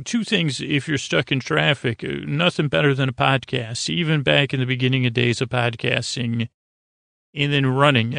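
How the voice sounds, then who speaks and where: A man hosting a podcast in a rambling bedtime monologue, with a creaky voice and a halting, meandering delivery that stumbles over words. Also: mid-range voice, fairly bright tone, no room sound, studio quality. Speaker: podcast host.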